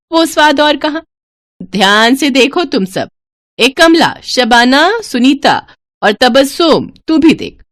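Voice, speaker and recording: A narrator playing a bossy, drawn-out old village aunt. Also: female voice, medium pitch, no echo, studio quality. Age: older. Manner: scolding, drawn-out, emphatic delivery. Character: bossy, spirited elderly village aunt rallying the women. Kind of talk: storybook character voice.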